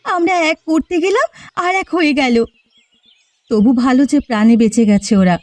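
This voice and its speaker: A woman's voice: sits high, big pitch swings, moderate pace, a little mellow, no echo, faint music behind. A woman voicing a humble, pleading village woman with a plaintive manner in a cartoon. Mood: sorrowful. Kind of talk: cartoon voice acting.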